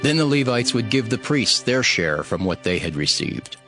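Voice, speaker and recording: Stern devout governor, dramatized scripture narration, earnest, emphatic, animated intonation, faint music bed. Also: male voice, mid-range voice, moderate pace. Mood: solemn, measured. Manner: earnest, emphatic, solemn storytelling. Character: Nehemiah, stern, devout governor recounting his zealous reforms.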